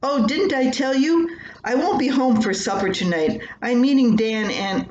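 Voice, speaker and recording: A woman's voice: high-pitched, measured pace, darkish tone, lo-fi sound, voice pushed up. An older woman voicing a tired, absent-minded farmer husband in a matter-of-fact, distracted way, as in a homespun story. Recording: roomy echo with faint background noise.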